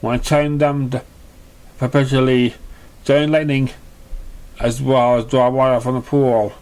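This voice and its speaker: Slow, halting male story narrator. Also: medium pitch, little pitch movement, darkish tone. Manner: halting, stumbles over words. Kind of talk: amateur reading of fan fiction narration.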